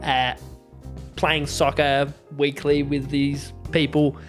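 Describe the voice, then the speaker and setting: A man with a slightly high voice plays in a tabletop game. His improvised banter is casual and halting, with an easy readiness to laugh, over faint music. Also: monotone. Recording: music playing under the voice.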